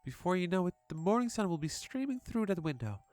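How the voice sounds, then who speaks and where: A woman playing a gentle, reassuring mother soothing her young daughter in an earnest way, in a horror story reading. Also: lowish voice, very animated, fast talker, soft background music.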